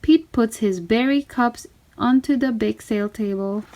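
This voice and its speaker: Lady doing kids storytime read aloud, slightly high voice, really unhurried and deliberate. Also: a little mellow. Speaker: children's storybook reader.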